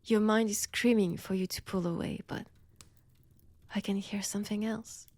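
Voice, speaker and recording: A woman's voice: medium pitch, steady tone, darkish tone, no echo, natural voice. A woman with a French accent voicing a seductive, menacing female vampire in an intimate ASMR roleplay, whispery and teasing, close to the microphone. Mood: seductive, commanding. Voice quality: breathy, whispery. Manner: slow, teasing, deliberate delivery. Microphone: close, dry microphone.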